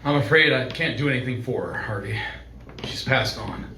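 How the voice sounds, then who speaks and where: A man playing a guarded, composed, faintly menacing doctor, measured and formal, in old-time radio drama. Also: mid-range voice, pushed and bright, slight background noise, natural voice. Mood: grave, warning. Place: live stage performance in a venue. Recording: echoey room, distant mic.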